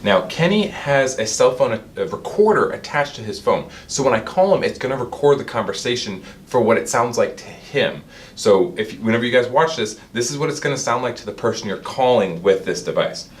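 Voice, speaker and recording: A man's voice: mid-range voice, lively intonation, a little fast. A man giving an informal, explanatory product demonstration as the presenter of a review.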